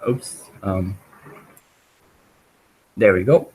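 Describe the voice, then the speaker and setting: A man leads a programming webinar, talking through his code as he types. His voice sits somewhat low and he speaks unhurriedly, filling gaps with ums and backing up mid-phrase to fix his wording. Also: very slow, darkish tone, slightly rough, poor audio. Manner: hesitant, many ums and restarts. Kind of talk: live coding walkthrough.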